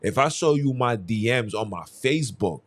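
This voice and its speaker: A man's voice: medium pitch, animated, moderate pace. A young man hosting a solo podcast, talking in a heated, emphatic way and often repeating his phrases. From Haiti. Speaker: podcast host. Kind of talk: casual solo commentary.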